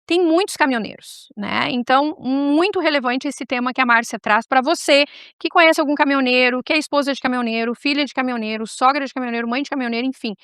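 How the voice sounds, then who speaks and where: A woman's voice: sits high, fast talker, hardly any pauses, a bit bright, clear, resonant tone, no room sound, clean studio sound. A woman with a gaúcho accent from southern Brazil hosting a talk show, chatting casually, digressing and speaking straight to her viewers. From Rio Grande do Sul, Brazil.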